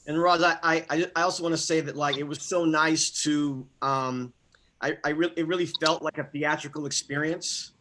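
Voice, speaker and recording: A man's voice: a little high, steady tone, normal speed, pushed and bright. A man giving casual feedback on a video call, rephrasing and repeating his words as he goes. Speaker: audience member giving feedback. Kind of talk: casual spoken praise. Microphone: video call microphone.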